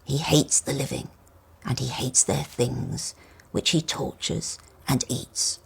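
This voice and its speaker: A woman voicing an old rusty male toy car in a storybook, grave and wise, croaky, explaining things slowly and ominously. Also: a bass voice, fairly even intonation, pitched below the performer's own voice, heavily acted.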